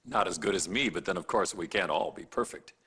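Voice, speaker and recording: An actor playing a smug, vain, middle-aged hospital chief of staff in a video game cutscene, pompous and self-satisfied. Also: male voice, deep voice, brisk, sharp tone, slightly rough, heavily acted. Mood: smug, boastful. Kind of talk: live-action video game cutscene acting.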